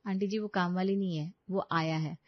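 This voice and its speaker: A woman's voice: fairly low pitch, fairly even intonation, normal speed, no echo, highs cut off, close to an everyday voice. Woman playing an exasperated young daughter-in-law. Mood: weary, patient. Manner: complaining, chatty.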